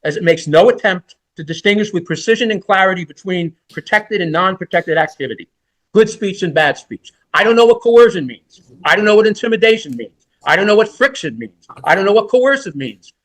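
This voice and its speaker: A man's voice: high-pitched, lively intonation, fairly quick, a bit bright, low-quality recording. A man in his sixties, a defense lawyer, making an oral argument in court in an emphatic, rhetorical and repetitive way.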